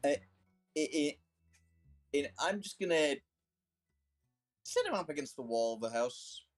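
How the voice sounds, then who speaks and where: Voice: mid-range voice, animated, a little slow. A player talks through their turn in a tabletop game, stumbling over fillers as they decide. The voice sits in a middle range. Faint music plays in the background. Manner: hesitant, many fillers. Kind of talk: casual tabletop play.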